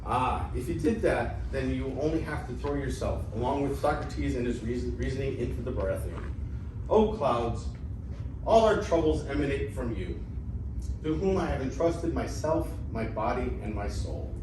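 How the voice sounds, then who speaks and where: A man's voice: medium pitch, animated, moderate pace, close to an everyday voice. A man playing a poor, gullible old Greek father who declaims with indignation in an amateur reading of ancient comedy. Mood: rueful. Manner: declamatory, indignant, stumbling over some words. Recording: echoey room, distant mic, faint noise.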